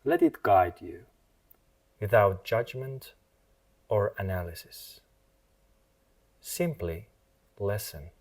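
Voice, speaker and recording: Very slow, low-voiced man guiding meditation. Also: very animated, a little mellow. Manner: soothing, measured, with long pauses between short phrases. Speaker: meditation guide. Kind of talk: guided meditation, scripted.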